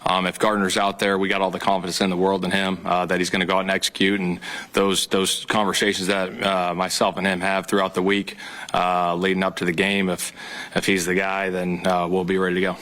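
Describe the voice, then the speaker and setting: A man answering press questions as a football coach, halting with many fillers. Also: deep voice, completely flat delivery, a bit bright.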